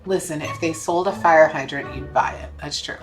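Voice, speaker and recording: A woman's voice: deep voice, normal speed. A woman in her thirties chatting casually while playing a video game, gushing and joking with her viewers. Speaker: streamer. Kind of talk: casual gameplay chat. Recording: game music playing behind.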